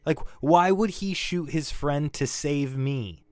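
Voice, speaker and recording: An actor playing a shaken young male robbery suspect in an audio drama, defensive and grieving, halting and stammering as he talks. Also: a little high, dry recording. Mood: desperate insistence. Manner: halting, stammering, repeating himself. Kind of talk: naturalistic audio drama acting.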